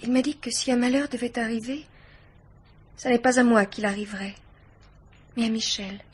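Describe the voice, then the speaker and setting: Grave, husky young niece. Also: female voice, fairly high pitch, fairly even intonation, moderate pace. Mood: anguished, reticent. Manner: grave, formal, hesitant but pointed. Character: grave, guarded eighteen-year-old niece, anxious yet self-possessed. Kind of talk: French radio mystery play acting.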